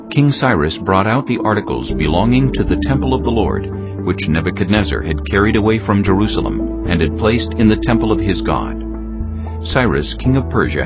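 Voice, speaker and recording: A man narrating scripture from a script in a measured, formal manner, as part of a dramatized audio reading. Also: lowish voice, dull audio. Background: music.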